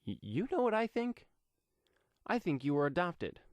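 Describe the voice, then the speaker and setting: Man acting hot-tempered, agitated brother, pitched up. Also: big pitch swings, normal speed, dry recording. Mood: exasperated, scornful. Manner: agitated, scornful, loud outbursts. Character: hot-tempered, tense brother of a young vigilante.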